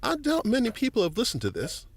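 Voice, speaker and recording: Avuncular small-town museum volunteer, high-pitched, very lively delivery, chatty explainer. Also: male voice, fast, full character voice. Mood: intrigued, chatty. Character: friendly, folksy older male museum volunteer and newspaper editor. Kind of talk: family audio drama acting.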